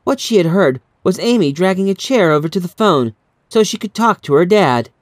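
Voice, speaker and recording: Measured man narrating horror, dry sound. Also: high voice, expressive, dry recording. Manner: measured, dramatic, suspenseful. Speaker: audiobook narrator. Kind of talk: scripted horror story narration.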